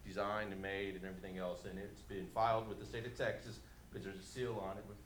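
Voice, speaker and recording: A man speaking as a resident at a public meeting, explaining informally and deliberately, step by step. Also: lowish voice. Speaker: resident giving public comment. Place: indoor council meeting room. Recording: echoey room, steady background noise.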